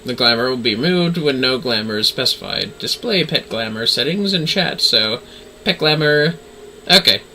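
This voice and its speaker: A man's voice: medium pitch, animated, normal speed, pushed and bright, slight background noise, rough recording. A man doing casual livestream game commentary, talking to himself as he plays and reading game text aloud. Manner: talks to self while playing. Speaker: livestream gamer, VTuber.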